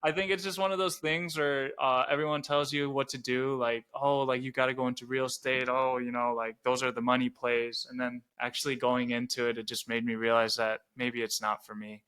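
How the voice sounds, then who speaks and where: A young man from the Chicago suburbs, co-hosting a podcast in a relaxed, rambling way with lots of "like" fillers. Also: medium pitch, fairly quick, hardly any pauses, smooth. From Chicago suburbs, Illinois. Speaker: podcast co-host. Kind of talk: casual chat.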